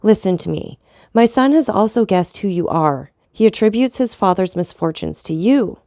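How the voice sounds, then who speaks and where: A woman doing an anguished mother, pleading, higher voice, dry close sound. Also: expressive, moderate pace, warm tone, dry recording, lightly acted. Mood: desperate. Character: desperate noblewoman pleading for her son.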